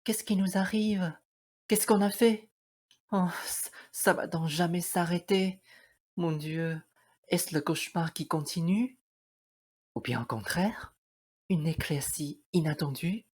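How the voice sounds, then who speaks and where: Woman acting low, husky, domineering mother. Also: slow, pauses often, a little mellow. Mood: panicked, overwhelmed. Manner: scolding and cursing at her daughters, obsequious to superiors. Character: domineering, vain, scheming mother, fawning before royalty. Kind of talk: theatrical radio play acting.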